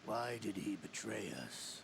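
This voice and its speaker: An actor playing a suspicious villain commander in a spy video game, speaking slowly and deliberately. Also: male voice, lowish voice, gravelly, background noise. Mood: grave, questioning. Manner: slow, deliberate. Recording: loud music over distant game audio.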